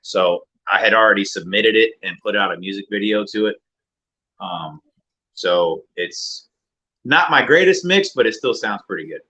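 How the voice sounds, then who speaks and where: A man, a music producer and rapper, talking casually and reflectively as an interview guest in a deeper mid-range voice. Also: moderate pace, low-quality recording. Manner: relaxed, reflective, many fillers.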